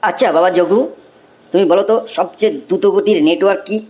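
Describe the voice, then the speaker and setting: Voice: mid-range voice, fairly even intonation, quick pace, darkish tone, lightly acted. A performer voicing an exasperated male schoolteacher in a Bengali comedy cartoon, with a theatrical, scolding manner. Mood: patient, quizzing.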